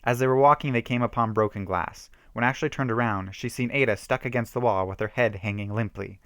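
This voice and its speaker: A man's voice: medium pitch, brisk, a bit bright, clean tone, no echo, studio quality. A man giving an amateur dramatic reading of a horror story, with theatrical emphasis.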